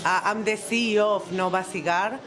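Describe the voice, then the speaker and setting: A woman's voice: mid-range voice, fairly even intonation, brisk, crowd noise. A woman speaking as a panel guest, earnest and heartfelt, in slightly non-native English. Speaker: guest, cigar company CEO. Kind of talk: casual panel speech. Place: cigar bar, live event. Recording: loud music in the background.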